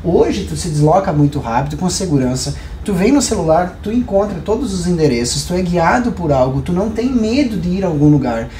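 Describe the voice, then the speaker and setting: A man with a southern Brazilian accent and a fairly high, very mellow voice talks through ideas on a podcast. He explains with examples and rhetorical questions, in a roomy, echoing space. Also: animated, a little fast, hardly any pauses. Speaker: podcast guest, hair professional. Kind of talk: conversational podcast discussion. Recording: roomy echo, clear background noise.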